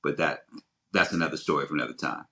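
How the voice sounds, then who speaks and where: A man's voice: very deep voice, gravelly, pushed and bright. A man co-hosting a podcast, giving opinions on films in an emphatic, rambling way with frequent restarts. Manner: emphatic, halting and rambling with repeated starts. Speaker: podcast co-host. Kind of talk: casual opinionated film chat.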